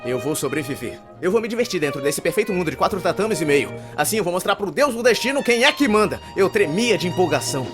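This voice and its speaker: A man's voice: high-pitched, big pitch swings, quick pace, few pauses, music in the background. A young man with a Brazilian accent playing a neurotic, wordy university shut-in narrating his inner monologue in a dubbed anime. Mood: grandly excited. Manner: wordy, pompous, theatrical.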